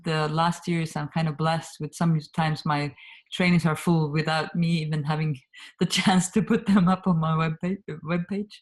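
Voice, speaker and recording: Swedish woman in her fifties, soft-spoken. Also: sits low, steady tone, normal speed. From Sweden. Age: 50s. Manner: warm, earnest, laughs often, soft trailing endings. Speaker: guest, communication trainer. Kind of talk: reflective interview talk over a video call.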